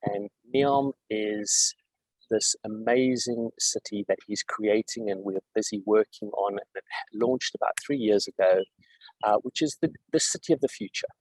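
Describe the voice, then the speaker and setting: Low-voiced South African man, measured. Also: a little mellow, dry recording, low-quality recording. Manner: measured, with frequent fillers and restarts. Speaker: guest executive. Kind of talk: interview answers.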